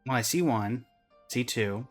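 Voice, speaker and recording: A man's voice: medium pitch, a little slow. Wry male streamer reacting over game music. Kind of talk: casual live game reaction commentary.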